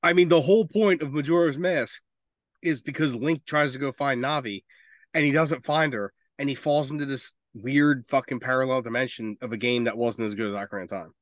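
A man's voice: mid-range voice, fairly quick, no room sound. Blunt man in casual podcast chat, dry sound. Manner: blunt, frequently swearing. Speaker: podcast co-host. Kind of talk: casual opinionated chat about games and films.

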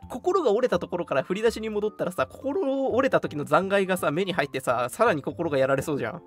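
A radio host chatting casually and telling stories in an animated, emphatic, colloquial way. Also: mid-range voice, fast talker. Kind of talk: casual chat, storytelling. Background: background music.

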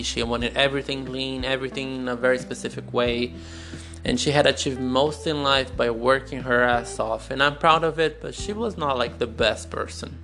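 A man's voice: medium pitch, a bit bright. A young Brazilian man with a Brazilian accent, a non-native English speaker, telling a personal story on his podcast in a candid, searching way, recorded on a phone. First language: Portuguese. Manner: slow, searching, candid, with pauses and restarts. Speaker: podcast host.

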